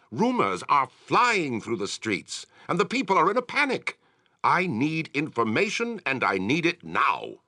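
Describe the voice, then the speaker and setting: Fantasy game town ruler, stern, sharp forceful voice, very animated intonation, brusque, commanding, close dry sound. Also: male voice, a little high, no room sound, full character voice. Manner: brusque, authoritative, formal phrasing. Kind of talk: fantasy video game character acting.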